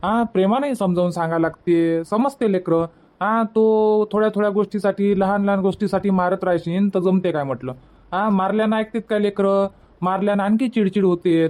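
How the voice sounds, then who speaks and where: Casting: gentle cartoon village dad, played by a man, high voice, Varhadi Marathi accent, patient and coaxing advice-giver. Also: a little fast, close to an everyday voice. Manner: coaxing, repetitive moral advice. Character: patient, gentle village father advising his wife. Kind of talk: cartoon comedy dubbing.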